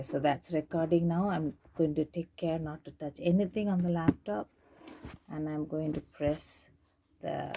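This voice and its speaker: A woman's voice: low voice, creaky, noisy background. A woman presenting an informal, unscripted how-to demonstration, hesitant and thinking aloud as she goes step by step. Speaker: tutorial presenter demonstrating tape digitizing.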